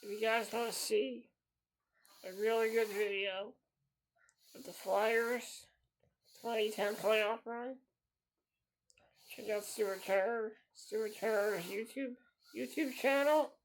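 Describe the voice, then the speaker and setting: A man's voice: sits high, steady tone, takes it slowly, pauses often, a little hiss or hum, low-quality recording. A man in his thirties hosting a solo podcast, talking in an earnest, repetitive way and circling back to the same phrases. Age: 30s. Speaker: podcast host.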